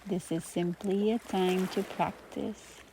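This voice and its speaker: A woman teaching meditation, guiding listeners gently through breathing and gratitude with soft pauses between phrases. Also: medium pitch, fairly even intonation, slight background noise, low-quality recording. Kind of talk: guided meditation.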